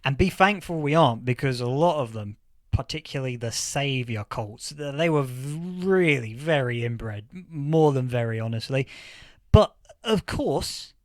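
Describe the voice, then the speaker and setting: A man playing a thoughtful, earnest history professor in an audio drama interview, explaining things with halting, deliberate emphasis. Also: mid-range voice, animated, no echo, clean studio sound, close to an everyday voice. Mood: emphatic, explanatory. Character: male history professor of a post-apocalyptic future, thoughtful, earnest. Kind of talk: acted interview, lecturing explanation.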